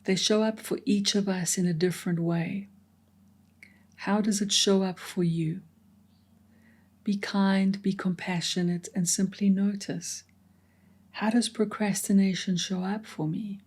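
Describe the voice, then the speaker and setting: A woman guides a meditation at a very slow, unhurried pace, her tone mellow and dark. She speaks gently and soothingly, slipping into soft whispers, with long reflective pauses between her phrases. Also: mid-range voice, steady tone, slightly rough.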